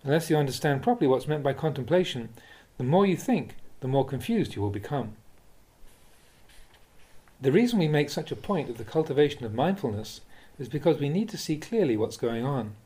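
A man, a Buddhist monk, reading spiritual teachings aloud in a measured, deliberate, instructive way, like an audiobook of a talk. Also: mid-range voice, sing-song, dark tone. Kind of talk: audiobook-style reading of a dharma talk with questions and answers.

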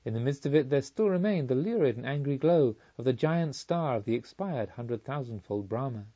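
A man reading scripted literary narration as an audiobook reader, in a solemn, measured manner. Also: medium pitch, animated, warm tone, husky, dull audio.

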